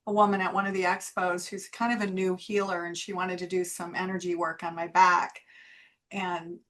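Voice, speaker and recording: A woman's voice: on the low side, little pitch movement, normal speed, a bit bright. A woman guest on a spiritual talk show, chatty and agreeable as she explains channeling. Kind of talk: casual interview chat.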